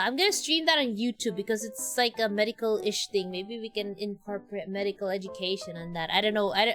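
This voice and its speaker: A woman's voice: medium pitch, a bit bright. A woman with a Filipino accent, a game streamer chatting casually in a rambling way that often trails off, with game music behind her. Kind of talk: casual livestream chat.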